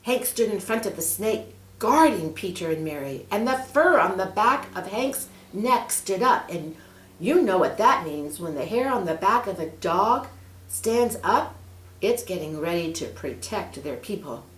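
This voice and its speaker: A woman's voice: medium pitch, animated, unhurried, distant mic. A woman reading a storybook aloud expressively, with asides addressed to young listeners. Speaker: children's story reader. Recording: roomy, echoing indoor sound.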